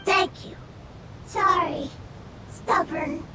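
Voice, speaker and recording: A woman voicing a small, gentle, childlike forest creature who speaks in broken, simple phrases. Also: very high-pitched, extremely slow, background noise, heavily acted. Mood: earnest.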